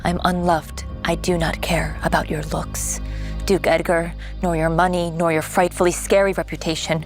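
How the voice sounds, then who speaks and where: A woman's voice: on the low side, flat delivery, moderate pace, natural voice. An actress playing a neglected, bold young noblewoman desperate to escape, in an audio drama with music underneath. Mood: earnest, pleading. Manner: pointed, determined.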